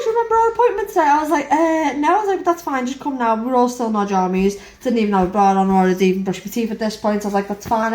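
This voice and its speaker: A young woman and mother, casually rambling through her day to camera, frank and lively. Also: mid-range voice. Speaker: vlogger, mother. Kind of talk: casual chatty recap to camera. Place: home. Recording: echoey room.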